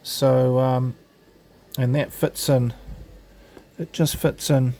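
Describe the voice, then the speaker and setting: A man's voice: mid-range voice, flat delivery, slow, vocal fry, warm tone. A man giving an informal, unscripted product tutorial, explaining step by step in a casual, hesitant way. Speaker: presenter of an amateur radio gadget demo.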